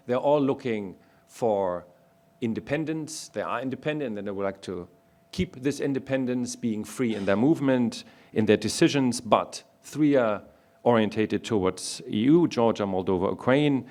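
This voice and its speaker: A man from Germany, speaking as a panelist in a public discussion and making his points one by one in a measured way. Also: on the low side, a little mellow.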